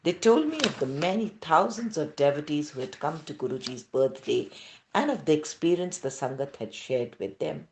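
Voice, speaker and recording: Indian woman reading with lively, swooping pitch. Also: normal speed, a little hiss or hum, low-quality recording. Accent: Indian English. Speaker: devotional reader. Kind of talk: scripted devotional narration read aloud.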